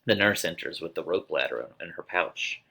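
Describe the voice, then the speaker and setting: A man's voice: low-pitched, moderate pace, creaky, background noise. A man reading stage directions as narrator in a scripted dramatic reading.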